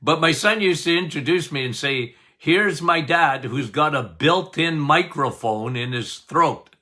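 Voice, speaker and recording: An older man hosting a health podcast as a doctor, talking loudly and passionately, with frequent laughs. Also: fairly high pitch, lively intonation, a little slow. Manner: loud, emphatic, passionate, laughs often. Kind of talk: casual health podcast talk.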